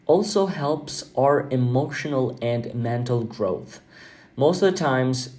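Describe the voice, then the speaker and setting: A man whose first language is Albanian, hosting a podcast in an earnest, reflective monologue, often pausing with fillers and repeating words. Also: medium pitch, dark tone. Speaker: podcast host.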